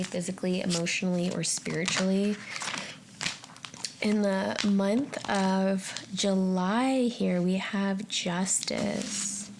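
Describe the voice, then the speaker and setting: A woman's voice: mid-range voice, vocal fry, darkish tone, a little hiss or hum. A woman giving an unscripted tarot forecast straight to the viewer, musing aloud in a tentative way as she interprets each card. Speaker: tarot reader and channel host.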